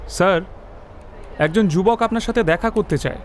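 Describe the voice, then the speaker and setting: Junior police officer played by a man, high voice, formal and dutiful, faint ambience, detective radio play. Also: moderate pace, a little hiss or hum, close to an everyday voice. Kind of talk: dramatised detective audio story acting.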